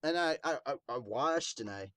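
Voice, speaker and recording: A man's voice: medium pitch, animated, normal speed, sharp tone, clear, resonant tone, dry recording, studio quality. A man hosting a manga podcast in casual chat, with congested sinuses. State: congested sinuses, sniffing and throat clearing. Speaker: podcast host reviewing manga.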